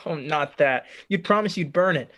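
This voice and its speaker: An actor reading a reserved young male college student in a radio play, giving short, quiet replies. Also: a little high, animated, moderate pace. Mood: joking.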